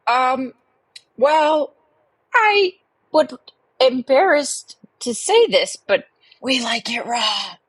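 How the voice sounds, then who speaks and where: A woman playing an anxious, prudish, suspicious caller to a comic advice show, speaking softly and hesitantly with earnest, dramatic pauses. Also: high voice, sing-song, slightly rough, dry recording, full character voice, low-quality recording. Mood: embarrassed, alarmed. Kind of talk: improvised comic call-in radio show.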